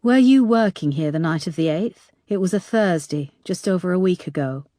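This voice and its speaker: A woman's voice: on the low side, expressive, moderate pace, dark tone, no echo, clean studio sound, lightly acted. A woman reading aloud, voicing a persistent woman police detective who questions firmly.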